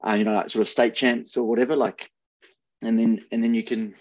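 A man's voice: medium pitch, level tone, a little fast, dry recording, highs cut off. A man with a New Zealand accent chatting casually about sports as a podcast panelist.

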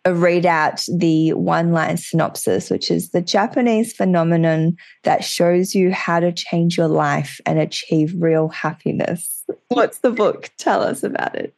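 Low gravelly woman host. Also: measured pace, a little mellow, dry recording. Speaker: podcast host. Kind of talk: podcast interview. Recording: dry close sound.

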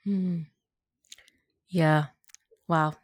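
This is a woman hosting a podcast, with a lowish voice for a woman. She speaks softly and casually, often pausing on fillers as she shapes her questions. The recording is close and dry, with no room sound. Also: steady tone, dry recording. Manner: soft-spoken, searching for words with frequent fillers. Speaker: podcast host. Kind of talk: casual interview conversation.

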